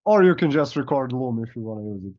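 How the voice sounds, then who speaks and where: Hoarse man coaching on a call. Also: medium pitch, animated, fast, no room sound. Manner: loose, filler-heavy explaining. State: hoarse, losing his voice. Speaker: coach giving feedback. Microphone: video call. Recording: dull, lo-fi video call audio.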